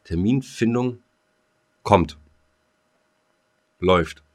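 Low-voiced casual male podcast co-host. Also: drawn-out words. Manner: colloquial, short clipped remarks. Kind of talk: casual chat.

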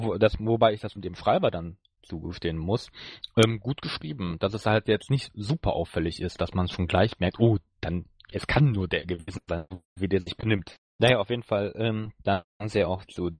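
Slightly husky, low-voiced man chatting. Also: moderate pace, a little mellow, dry recording. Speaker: podcast co-host. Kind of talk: casual podcast chat reviewing an audio drama.

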